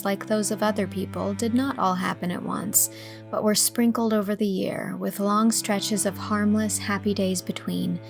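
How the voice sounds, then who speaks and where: Woman narrator with creaky edge. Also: medium pitch. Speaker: audiobook narrator. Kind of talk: scripted literary narration.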